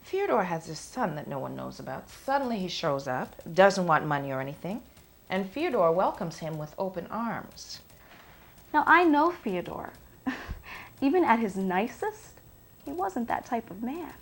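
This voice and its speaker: A woman's voice: mid-range voice, sing-song, normal speed, vocal fry. An actress playing an aggrieved, curious ex-girlfriend giving naturalistic testimony about her lover in a film drama. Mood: puzzled.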